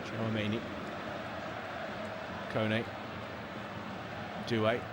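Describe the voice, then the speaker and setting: Man commentating football over loud noise. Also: lowish voice, monotone, extremely slow. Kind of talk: live play-by-play match commentary.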